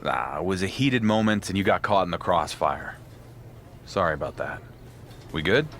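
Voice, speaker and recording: An easygoing champion wrestler, sure of himself and on the low side in pitch. The game dialogue is conversational, with faint room ambience. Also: male voice, animated, moderate pace, a bit bright, slight background noise. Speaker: pro wrestler.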